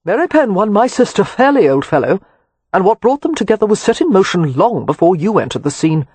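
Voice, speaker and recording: An audiobook narrator voicing an impatient young gentleman who is brusque and sardonic. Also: medium pitch, sing-song, brisk, slightly rough, dry recording, pitched above the performer's own voice. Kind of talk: audiobook character voice.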